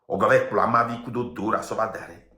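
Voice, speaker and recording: A man's voice: lowish voice, a little fast, pushed and bright. Togolese guy in his 50s ranting on a live, french with a local accent, fiery and insistent, echoey room. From Togo. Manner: mixes French with local language, defiant and emphatic. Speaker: online activist host. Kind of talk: impassioned live-stream rant.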